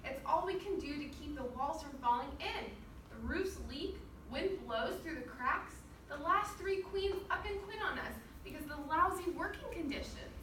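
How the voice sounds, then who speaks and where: A woman voicing a very small, loud, indignant bee with a demanding, sarcastic manner in a storybook reading. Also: high voice, expressive, moderate pace. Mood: aggrieved. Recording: roomy, distant microphone, clear noise.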